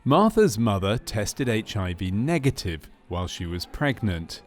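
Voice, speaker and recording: A man reading scripted documentary narration for a medical training film in a measured, factual manner. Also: fairly low pitch, big pitch swings. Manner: measured, factual delivery of clinical case history.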